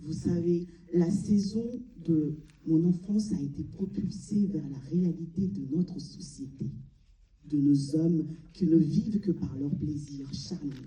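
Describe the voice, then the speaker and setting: A woman from Mali delivering a solemn, deliberate theatrical monologue, pausing between short phrases. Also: sits low, dark tone. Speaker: amateur stage performer. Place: large cinema auditorium before an audience. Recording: echoey hall, distant mic.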